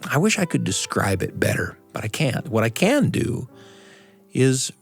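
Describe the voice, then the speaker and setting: A man hosting a radio show, telling stories and chatting with deliberate phrasing and dramatic pauses. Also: medium pitch, sing-song, creaky, faint music behind. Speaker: radio show host and storyteller. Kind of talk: reflective personal storytelling and hosted studio conversation. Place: radio studio control room.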